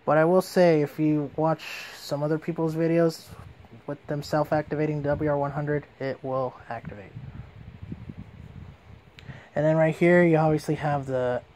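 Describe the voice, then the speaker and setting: A man's voice: fairly high pitch, flat delivery, moderate pace, darkish tone, a little hiss or hum, poor audio. A man giving a casual hands-on product demonstration as a reviewer.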